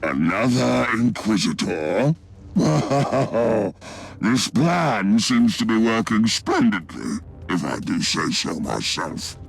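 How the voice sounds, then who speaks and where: Sadistic mad scientist, gravelly creak, huge swooping pitch, gloating, theatrical, video game villain. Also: male voice, big pitch swings, exaggerated voice. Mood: smug, gloating. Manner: theatrical, gloating, drawn-out menacing delivery.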